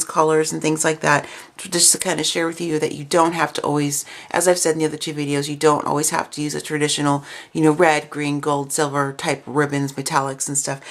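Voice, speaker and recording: A woman with a raspy, slightly cold-affected voice giving a casual, chatty craft demonstration. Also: deep voice. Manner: chatty, informal, explanatory. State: coming down with a cold. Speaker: craft video host.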